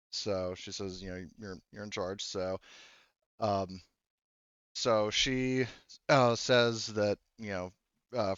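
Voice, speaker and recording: Guy game mastering a tabletop rpg, halting delivery, low clean voice, bit dull sounding mic. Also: smooth, no room sound. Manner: halting, speaks for characters in own voice. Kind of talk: narrating a tabletop roleplaying game.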